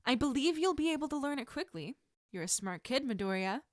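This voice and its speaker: Woman reading as a calm lady martial arts teacher, encouraging n measured, audiobook dialogue, really dry close sound. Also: sits high, dry recording, voice pushed up. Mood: instructive. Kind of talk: audiobook-style character dialogue.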